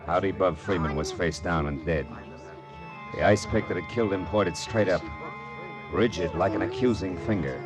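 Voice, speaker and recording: Male actor as hard-boiled American gumshoe, sardonic and menacing, deep register, vintage radio drama over music. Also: normal speed, close to an everyday voice. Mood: grim, wry. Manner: clipped, wisecracking, menacing. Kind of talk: 1940s radio detective acting and first-person narration.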